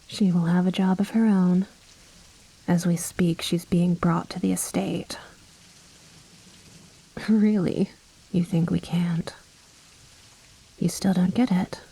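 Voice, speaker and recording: A woman voicing a commanding, seductive vampire noblewoman in a soft, breathy, coaxing whisper for an ASMR roleplay. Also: on the low side, exaggerated voice, poor audio. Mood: mock-sweet menace. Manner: slow, coaxing, silkily condescending.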